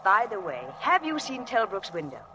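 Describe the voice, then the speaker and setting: A woman's voice: mid-range voice, sing-song, normal speed, close to an everyday voice. An actress playing a chatty, effusive, genteel society lady in 1940s radio drama style. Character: chatty, gushing society lady, a mystery writer. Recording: noisy vintage radio broadcast.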